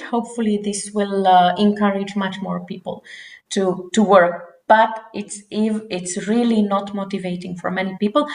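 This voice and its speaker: A woman in her forties from Romania, giving earnest interview answers with frequent restarts. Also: medium pitch. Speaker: guest. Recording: roomy echo.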